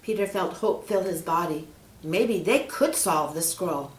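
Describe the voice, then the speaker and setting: A woman's voice: on the low side, unhurried, far from the mic. A woman reading a storybook aloud expressively, with asides addressed to young listeners. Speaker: children's story reader. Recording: roomy, echoing indoor sound.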